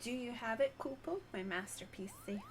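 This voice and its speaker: A woman's voice: a little high, moderate pace, music in the background, voice pushed up, close to an everyday voice. A woman reading aloud the game dialogue of a proud, genteel moogle artist, formal and self-satisfied. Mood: proud, pleased.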